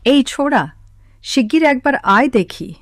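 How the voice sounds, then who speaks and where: A woman's voice: sits high, moderate pace, no room sound, studio quality, natural voice. A woman voicing a suspicious, scolding old village woman in a cartoon story.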